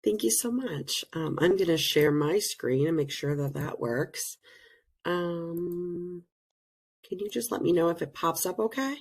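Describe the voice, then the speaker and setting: A woman presenting in an online learning session over a video call, slightly hoarse from being sick, explaining things earnestly with many pauses. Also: lowish voice, darkish tone. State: hoarse, recovering from illness. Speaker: presenter and program director. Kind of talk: webinar presentation.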